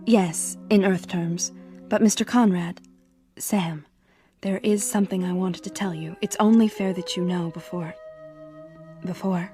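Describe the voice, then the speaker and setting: A woman acting a gentle, uneasy alien in a radio drama, with a polite, hesitant, earnest way of talking. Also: on the low side, fairly even intonation, a little slow, soft background music, natural voice. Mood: uneasy, urgent.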